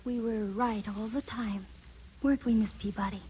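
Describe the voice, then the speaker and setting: A woman's voice: a little high, steady tone, moderate pace, warm tone, a little hiss or hum, close to an everyday voice, rough recording. A woman playing a wary, solemn child in 1940s radio drama style. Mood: cautious, solemn. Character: wary, solemn child who hated the governess. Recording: vintage radio, faint music.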